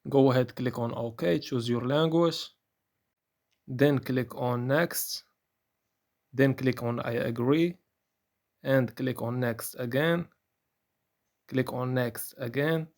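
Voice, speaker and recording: A man narrating a step-by-step software tutorial in a plain, instructional manner. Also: mid-range voice, slow, long pauses.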